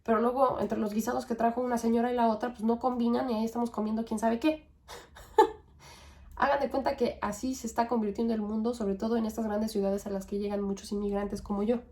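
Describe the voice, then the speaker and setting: A young woman from Mexico with a Mexican accent, hosting a podcast and thinking aloud in a relaxed, conversational way with tag questions and fillers. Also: on the high side, fairly quick. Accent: Mexican Spanish. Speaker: podcast host. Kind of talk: reflective monologue.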